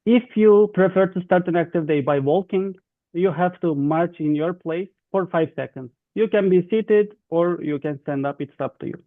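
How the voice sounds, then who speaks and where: A man with a Turkish accent explains instructions to an online group, halting and repeating himself in non-native English. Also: sits high, no echo. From Turkey. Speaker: youth webinar facilitator. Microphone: video call. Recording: thin, dry phone-like online call.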